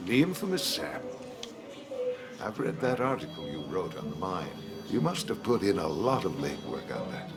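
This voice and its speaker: Measured pharmacist with swooping intonation. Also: male voice, sits low, sing-song. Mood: measured, knowing. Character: smooth-talking, measured, faintly ominous small-town pharmacist.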